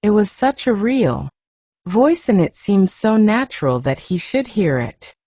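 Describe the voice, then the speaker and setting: Slow, soft-spoken woman narrating a story. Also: mid-range voice, sing-song, breathy edge, no room sound, clean studio sound. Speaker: audiobook narrator.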